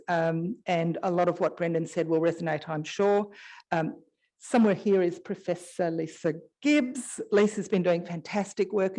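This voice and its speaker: A woman's voice: lowish voice, mellow. A woman moderating a conference panel, introducing speakers with chatty, easygoing asides. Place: conference hall. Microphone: shared stage microphone.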